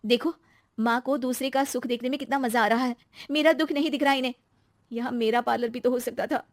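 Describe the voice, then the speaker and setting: A woman voicing an excitable, chatty young married daughter-in-law who is easily aggrieved, in a storybook style. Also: a little high, a little fast, no room sound, clean studio sound, voice pushed up, close to an everyday voice.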